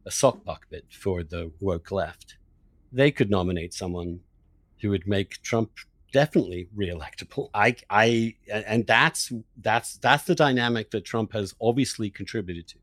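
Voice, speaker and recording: A man's voice: lowish voice, normal speed, fairly bright tone, dry recording. A man hosting an opinionated political discussion, arguing his points emphatically. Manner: emphatic, argumentative. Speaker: podcast host, interviewer.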